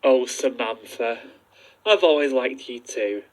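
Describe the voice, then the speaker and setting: An adventurous archaeology professor played by a man speaks at a medium pitch. He is an earnest, lovestruck suspect in an amateur audio mystery. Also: lively intonation, slow pace, poor audio. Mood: smitten. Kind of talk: amateur audio drama acting.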